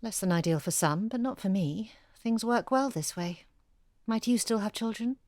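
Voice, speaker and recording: A woman's voice: mid-range voice, expressive, moderate pace, darkish tone, no echo, close to an everyday voice. An actress playing a blunt, busy single mother who ghostwrites, speaking in a matter-of-fact, naturalistic way. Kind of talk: audio drama acting, naturalistic.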